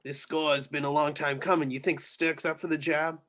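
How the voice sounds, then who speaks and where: A man acts out a scornful, disapproving man in an audio drama. His voice is high for a man and his delivery slightly halting. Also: level tone, natural voice. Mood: menacing. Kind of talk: amateur script reading.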